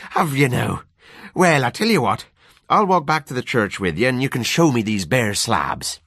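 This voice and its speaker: A man's voice: mid-range voice, big pitch swings, moderate pace, fairly bright tone, slightly rough, dry recording, studio quality, voice pushed up, heavily acted. A man voicing a hearty, scoffing Irish doctor with a teasing, bluff manner in a radio story reading. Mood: amused teasing.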